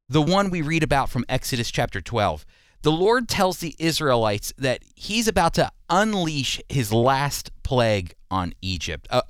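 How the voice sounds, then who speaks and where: A man's voice: a little high, clean tone, dry recording, clean studio sound. A man hosting a radio program, teaching the Bible and commenting in an earnest, emphatic, explanatory way.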